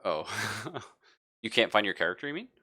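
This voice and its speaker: This is a man with a very low but bright, forward voice. He runs a tabletop roleplaying game as game master. He improvises his narration casually, often halting to think aloud. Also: very animated.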